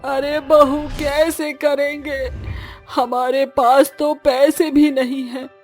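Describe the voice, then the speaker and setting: A poor, anxious village mother-in-law from a cartoon moral tale, played by a woman. Her high female voice laments plaintively and very slowly, with music behind it. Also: flat delivery. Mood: tearful despair. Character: distraught, fearful village mother-in-law, poor banana farmer.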